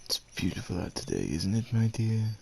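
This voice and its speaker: A man playing a devoted, gentle werewolf suitor in an ASMR roleplay, speaking with hesitant tenderness and courtly phrasing in a gravelly, husky voice. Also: on the low side, big pitch swings, moderate pace, slight background noise. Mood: tender. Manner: hesitant, tender, old-fashioned courtly phrasing with tag questions. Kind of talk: ASMR roleplay, acted monologue addressed to the listener.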